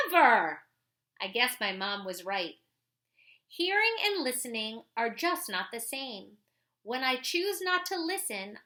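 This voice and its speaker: Lady doing an earnest little school kid telling his story, storybook singsong, high n bright, super animated. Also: heavily acted. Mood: resolved. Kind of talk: children's picture book read-aloud.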